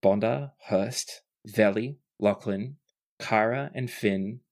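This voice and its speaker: A game master runs a tabletop story in a mid-pitched voice. The delivery is conversational storytelling, describing the scene and briefly voicing the characters along the way. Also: slow pace, darkish tone.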